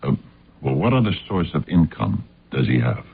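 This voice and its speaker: A gruff radio-drama sleuth with an extremely low, creaky, husky voice. He probes haltingly and doggedly, full of ums, on a muffled old recording. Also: big pitch swings, heavily acted. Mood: probing, suspicious. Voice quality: very deep, gravelly. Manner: halting, pressing questions with many ums. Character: gruff probing male sleuth, dogged and wary.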